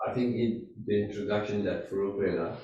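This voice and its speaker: A man's voice: lowish voice, fairly even intonation, fairly quick, dark tone, muffled recording, a little hiss or hum. A man in a workshop audience asking a question politely and in a roundabout way. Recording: echoey room, far from the mic.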